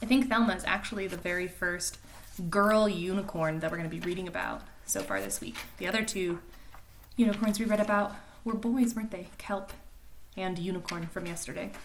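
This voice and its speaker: A woman reading a picture book aloud to young children in an animated way, pausing to explain and ask questions like a teacher. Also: lowish voice, a little hiss or hum. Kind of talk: expressive storybook read-aloud with chatty explanations to children.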